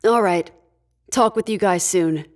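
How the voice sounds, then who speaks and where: A woman's voice: medium pitch, a little slow, darkish tone, lightly acted. Sci-fi audio drama leader, female actor: middle-register voice, composed, authoritative, decisive. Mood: calm, in charge.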